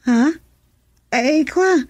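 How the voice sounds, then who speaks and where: A woman playing an inquisitive young villager in an Ilocano radio drama, with a mellow, husky voice. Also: high-pitched, lively intonation, drawn-out words, dry recording, heavily acted. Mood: surprised, probing.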